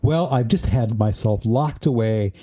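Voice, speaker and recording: A man hosting a casual talk show with a raspy, dried-out throat, leading the conversation in a friendly way. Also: medium pitch, unhurried, warm tone. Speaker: podcast host. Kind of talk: casual chat.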